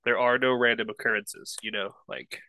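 A man's voice: medium pitch, fairly even intonation, moderate pace, sharp tone, rough recording. A young man with a stuffy nose, chatting casually as a podcast co-host, rambling and hesitant. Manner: rambling, hesitant, laughs easily. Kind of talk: casual chat.

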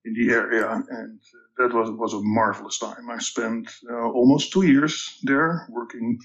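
A man in his sixties with a Dutch accent tells his story slowly and carefully, pausing often with ums and restarting his sentences. His voice sits at an average pitch. The recording sounds a little dull and muffled. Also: steady tone, vocal fry. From Netherlands. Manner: measured, hesitant with many ums and restarts. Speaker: guest. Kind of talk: reflective career storytelling.

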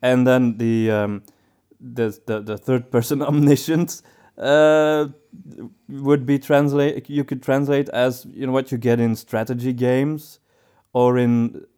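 Male podcast co-host, clear resonant voice, medium pitch, conversational, halting delivery, thinks aloud. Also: flat delivery, dark tone.

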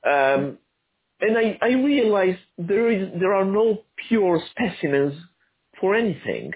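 A man who grew up in Argentina, an author answering interview questions in a halting, thoughtful way with many restarts. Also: high-pitched, very animated, phone-quality sound.

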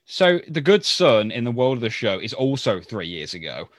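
A man's voice: mid-range voice, big pitch swings, moderate pace, no room sound. A man co-hosting a podcast in casual chat, rambling and thinking aloud as he goes. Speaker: podcast co-host. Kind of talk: casual chat about a sitcom.

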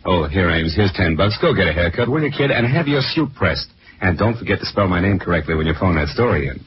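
A smooth, sardonic crooked attorney, speaks in a deep voice with lively, swooping condescension. It is old radio drama from the 1940s, muffled and noisy. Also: male voice, fast talker. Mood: contemptuous. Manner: condescending, sardonic, drawn to mockery. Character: smug, smooth-talking crooked male defense lawyer.

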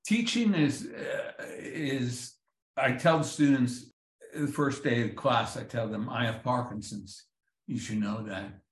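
A man and comedy writer turned professor, answering interview questions with dry, self-deprecating humor and frequent halting restarts over a video call. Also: mid-range voice. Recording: roomy echo.

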